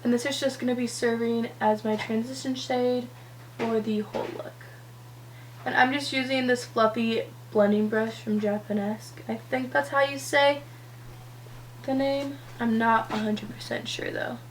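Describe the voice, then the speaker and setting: A teenage girl with a slightly raised pitch, a little hoarse from a cold, talking through her makeup in a loose, rambling way, full of "um" and "like". A faint hum sits under her voice. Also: steady tone, unhurried, low-quality recording. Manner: rambling, lots of "um" and "like". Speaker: beauty vlogger. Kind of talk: chatty get-ready-with-me vlog.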